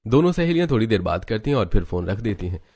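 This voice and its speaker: A man's voice: lowish voice, very animated, fast talker, a little mellow, breathy edge. A man reading scripted narration for a moral story as its storyteller.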